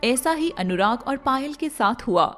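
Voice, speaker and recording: A woman's voice: sits high, expressive, moderate pace, a bit bright, faint music behind. A woman reading scripted storybook narration as the narrator of a moral tale.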